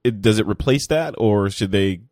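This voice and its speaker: Low-voiced man asking probing questions. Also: lively intonation, moderate pace, darkish tone, no room sound, clean studio sound. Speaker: podcast host asking questions. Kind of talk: casual interview questions.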